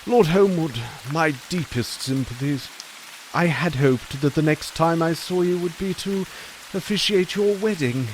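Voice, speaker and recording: A man's voice: a little high, a little slow, a little hiss or hum, lightly acted, rough recording. An actor playing a pious, wordy clergyman in a period audio drama, solemn and given to quoting scripture. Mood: sympathetic, rambling. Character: pious, fussy, rambling clergyman, male.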